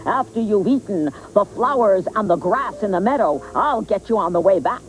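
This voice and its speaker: A woman voicing a mean old male troll who guards a bridge, speaking slowly and menacingly. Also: medium pitch, animated, faint music behind, close to an everyday voice, low-quality recording. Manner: slow, drawn-out menacing delivery.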